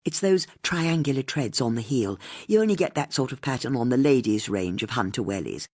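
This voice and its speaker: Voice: medium pitch, expressive, a little fast, a little mellow, no room sound, clean studio sound, natural voice. An audiobook narrator voicing a timid, self-effacing woman with a hesitant, apologetic manner. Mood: modest, precise.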